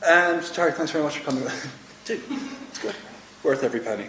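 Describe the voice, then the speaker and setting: A man's voice: a little high, brisk, bright, forceful tone, a little hiss or hum. A man hosting a live talk show event, introducing a guest with frequent ums. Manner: hesitant, many ums. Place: event hall with audience. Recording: echoey room with faint ambience.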